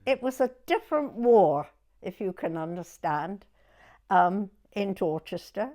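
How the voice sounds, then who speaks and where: A woman in her nineties with a southern English accent, reminiscing in an interview in a halting way, pausing to recollect. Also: medium pitch, expressive, dark tone. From southern England. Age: 90s. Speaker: oral history interviewee.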